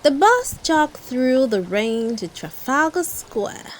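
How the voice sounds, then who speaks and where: A woman's voice: high-pitched, very animated, slow, a little hiss or hum. A woman reading a storybook aloud expressively for toddlers, speaking directly to young children as host. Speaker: storybook reader and channel host.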